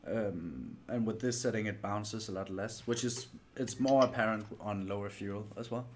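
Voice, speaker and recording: Male sim racing stream host, lowish voice, chatty, courteous, self-deprecating, casual delivery. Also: moderate pace, slight background noise. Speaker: livestream host and sim racer. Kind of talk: casual livestream gaming chat.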